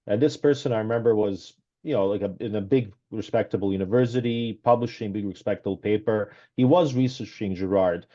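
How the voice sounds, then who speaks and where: A man raised in Canada, speaking as a guest on a video call interview through a headset, talking casually with many pauses and restarts. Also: sits low, warm tone. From Serbia, raised in Canada. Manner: hesitant, many ums and restarts. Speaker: guest, author. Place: small room at home. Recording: dull lo-fi video call audio.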